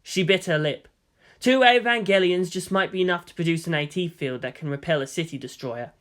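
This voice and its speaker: Bright, forceful male story narrator. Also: high-pitched, a little fast. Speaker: narrator reading fan fiction aloud. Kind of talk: dramatic scripted narration with character voices.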